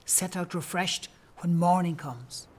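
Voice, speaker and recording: A man's voice: sits high, unhurried, husky. A man playing a kindly, solemn Irish priest in an audio play, speaking in archaic, declamatory phrases.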